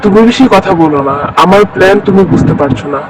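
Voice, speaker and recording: A man's voice: high-pitched, fast talker, recorded from a distance, background noise, lightly acted. A man voicing a scheming, glib village man who feigns helpfulness in a cartoon story. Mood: sly, confident.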